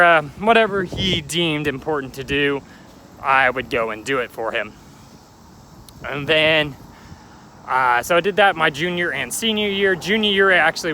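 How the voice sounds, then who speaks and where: Gravelly young man vlogging outdoors. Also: fairly high pitch, bright, forceful tone, a little hiss or hum, poor audio. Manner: rambling, chatty, joking aside at passing trains. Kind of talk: casual storytelling vlog. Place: outdoors near a railway.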